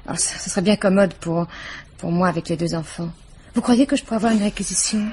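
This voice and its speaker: A woman's voice: fairly low pitch, fast, darkish tone, breathy edge. A woman playing a gushing, self-interested neighbour in a radio drama, chatty, hesitant and ingratiating. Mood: sheepish, wheedling. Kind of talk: 1950s French radio drama acting.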